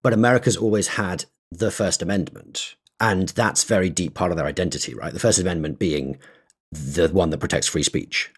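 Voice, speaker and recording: A very low-voiced man with a husky, slightly rough tone, chatting on a podcast. He explains things at length, thinking aloud, often restarting sentences and dropping in small laughs. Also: moderate pace. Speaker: podcast co-host. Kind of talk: casual conversational podcast.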